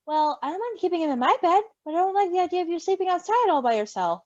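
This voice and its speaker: A woman voicing a kindly, soft-hearted older male creature in a story reading, coaxing and reassuring. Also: extremely high pitch, sing-song, quick pace, no echo, pitched above the performer's own voice. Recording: dull lo-fi recording.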